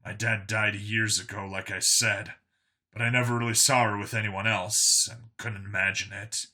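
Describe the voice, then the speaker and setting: Man acting an earnest, blunt, sharp-voiced enforcer. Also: fairly low pitch, little pitch movement, moderate pace. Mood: reflective. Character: Vincent, earnest tough human enforcer, reformed bigot.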